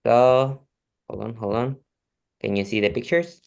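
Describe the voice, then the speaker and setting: Polite male examiner, measured speech. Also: mid-range voice, fairly even intonation, creaky. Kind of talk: conducting an oral exam.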